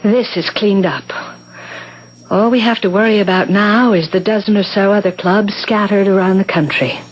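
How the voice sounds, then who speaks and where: An American actress playing a determined, crafty middle-aged widow in a 1970s radio drama, covering pointed, commanding questions with small talk. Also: female voice, mid-range voice, moderate pace, natural voice, rough recording. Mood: grimly resolute. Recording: hissy vintage radio broadcast recording.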